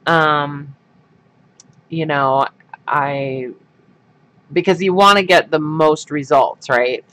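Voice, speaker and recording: A man hosting a crafting livestream talks in an unhurried, rambling way, full of ums and restarts. His voice sits a little high and sounds bright and forceful, with a stuffed-up nose. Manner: rambling, many fillers and restarts. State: stuffed-up sinuses. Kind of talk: casual livestream chat while crafting.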